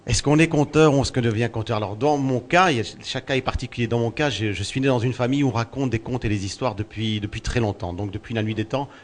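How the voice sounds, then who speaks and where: A man's voice: medium pitch, quick pace, hardly any pauses, a little hiss or hum. A man born in Belgium who works as a storyteller, answering interview questions in a thoughtful way and often repeating words while he looks for the next one. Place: outdoors at a festival.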